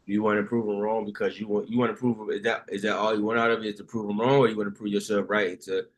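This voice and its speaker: A man's voice: fairly low pitch, flat delivery, fast talker. Laid-back young man hosting a call. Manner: laid-back slang, short affirmations. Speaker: session host. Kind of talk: casual video call chat. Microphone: video call.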